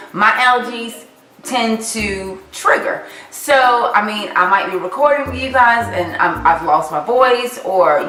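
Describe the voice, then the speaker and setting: A woman from the Carolinas talking through her favorite makeup products in a chatty, animated vlog. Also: a little high, distant mic. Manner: chatty, animated, sassy asides. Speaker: makeup vlogger. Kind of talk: casual product review vlog. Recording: echoey room, voice off-mic, faint music.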